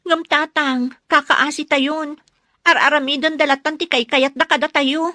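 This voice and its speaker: A woman's voice: sits high, steady tone, moderate pace, bright, forceful tone, no room sound, clean studio sound. A woman acting in a radio drama as a worried, aggrieved villager, earnest and plaintive. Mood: pleading.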